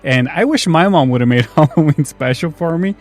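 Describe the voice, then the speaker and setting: A man's voice: on the high side, animated, brisk. A man hosting a casual film discussion, bluntly and jokingly complaining. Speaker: podcast host.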